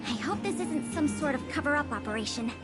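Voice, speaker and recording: A woman's voice: high voice, expressive, fairly quick. A woman playing an eager, studious young investigator in a video game, speaking in an earnest, articulate way. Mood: excited. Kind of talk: video game character dialogue. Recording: music behind the voice. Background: game background music.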